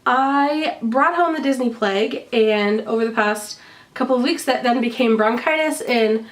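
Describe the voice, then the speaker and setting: A woman's voice: on the high side, normal speed, distant mic. A woman chatting casually to camera with a stuffy nose as she recovers from bronchitis, cheerful and full of ums. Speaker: vlogger showing a shopping haul.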